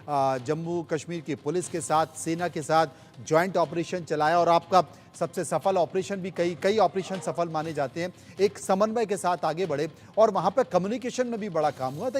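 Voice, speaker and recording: A man anchoring breaking news, emphatic and repeating key phrases as he presents and questions guests. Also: sits high. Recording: music bed behind the voice. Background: news music.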